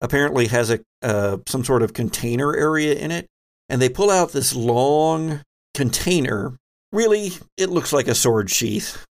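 A man runs a tabletop roleplaying game, describing scenes as he improvises them. His pitch sits in a medium range for a man. He talks conversationally and drops in wry asides. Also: lively intonation, normal speed. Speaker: game master and host.

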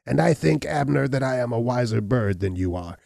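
Man voicing a sneering, gravelly hunchbacked landowner. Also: medium pitch, lively intonation, brisk, a little mellow, no echo, clean studio sound, voice pushed up. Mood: scornful. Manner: defiant, boastful, sneering. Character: cruel, mocking hunchbacked landowner, scornful and blasphemous.

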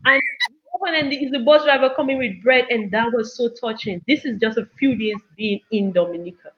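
A woman's voice: a little high, normal speed. Woman telling stories, slightly muffled audio. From Cameroon. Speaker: guest. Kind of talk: casual chat, telling personal anecdotes. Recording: dull, lo-fi audio.

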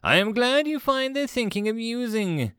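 An imperious alien fleet commander from a sci-fi audio story, acted out by a man. He is pompous and snarling, quick to lose patience, and his pitch leaps high above normal talk in big swings. The sound is close and dry. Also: very animated, smooth, dry recording. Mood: snapping, exasperated. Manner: pompous, snarling, easily exasperated.

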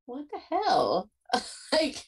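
A woman's voice: high voice, huge pitch swings, extremely slow. A woman hosting a podcast in casual chat, enthusiastic and full of "like". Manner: enthusiastic, peppers talk with "like". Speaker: podcast host.

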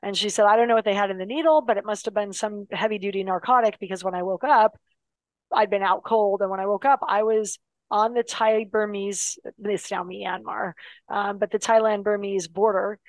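A woman telling a long personal story in a casual, animated way, full of fillers and restarts, dropping her voice for serious parts. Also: mid-range voice, normal speed, dry recording, rough recording.

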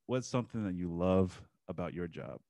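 Male host, low-ish pitch, steady friendly questions, dry mic. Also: gravelly, no room sound. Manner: measured, friendly, hesitant with fillers. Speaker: interview host. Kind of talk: interview questions.

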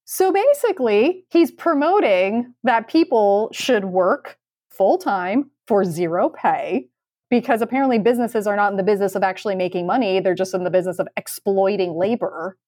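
A woman's voice: mid-range voice, animated, moderate pace, a little mellow. A woman co-hosting a podcast in casual, informal, conversational talk with a sardonic edge. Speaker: podcast co-host and recruiter.

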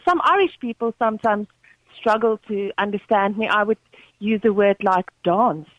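A woman's voice: medium pitch, moderate pace, no echo. A South African woman with an Afrikaans accent calling a radio show, polite and agreeable with frequent hesitant fillers. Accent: Afrikaans-influenced South African. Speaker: caller. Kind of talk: phone-in call. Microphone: telephone. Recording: muffled phone line.

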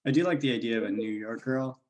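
A man with a middle-pitched voice banters casually and wryly. Plenty of other voices can be heard behind him. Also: fast, crowd noise. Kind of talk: casual joking group chat.

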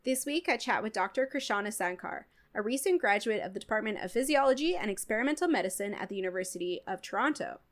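A woman's voice: mid-range voice, expressive, quick pace, hardly any pauses. A woman hosting a podcast, introducing her guest and interviewing her in a friendly, encouraging way. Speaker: podcast host.

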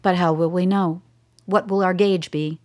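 Cool, precise scientist-advisor, played by a woman, a little low-pitched. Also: steady tone, normal speed, a little mellow, natural voice. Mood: composed. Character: composed, defiant female scientist advisor. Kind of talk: radio drama acting.

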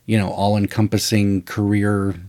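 Low-voiced man telling a rambling story. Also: moderate pace. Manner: rambling, animated storyteller with frequent "you know" and "uh". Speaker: podcast co-host and screenwriter. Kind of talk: casual storytelling chat.

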